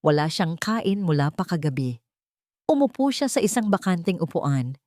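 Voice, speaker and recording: A woman narrating a dramatic story in a steady, scripted storytelling manner. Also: on the low side, moderate pace, no echo, clean studio sound.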